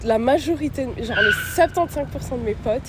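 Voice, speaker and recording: A young woman, talking casually and slangily as an interviewee, pausing on fillers. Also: sits high, very noisy. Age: young adult. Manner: relaxed, slangy, halting with fillers. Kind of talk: casual conversation.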